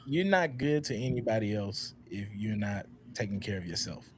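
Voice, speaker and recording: A man in his thirties hosting a casual panel talk, speaking in a reflective, unhurried way with many "uh"s and a loud voice. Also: fairly low pitch, slight background noise. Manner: relaxed, reflective, frequent "uh" and "all right?". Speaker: podcast host.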